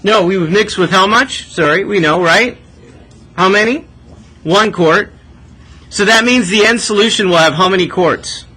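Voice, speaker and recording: Bright, high-voiced man tutoring math. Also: normal speed, slight background noise, low-quality recording. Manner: conversational, often tags questions with "right?". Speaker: math teacher. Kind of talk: step-by-step tutoring with checking questions.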